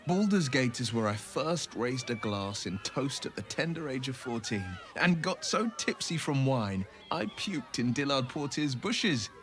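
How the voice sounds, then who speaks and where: An actor playing an earnest, noble young adventurer in a video game, with a heartfelt and eloquent delivery. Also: male voice, medium pitch, very animated, unhurried, hardly any pauses, fairly bright tone, soft background music. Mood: fond, nostalgic. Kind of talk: dramatic video game acting.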